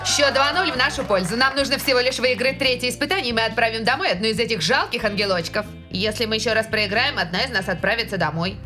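A woman's voice: fairly high pitch, fast, few pauses, music in the background, natural voice. A woman voicing a spirited teenage girl in a fantasy cartoon dub. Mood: animated. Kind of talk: animated cartoon dub acting.

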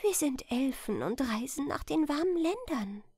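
A woman voicing a gentle, gracious young elf queen in a storybook. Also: sits high, sing-song, measured pace, no room sound, studio quality, pitched above the performer's own voice, exaggerated voice. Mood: kind, inviting. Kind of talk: storybook character voice.

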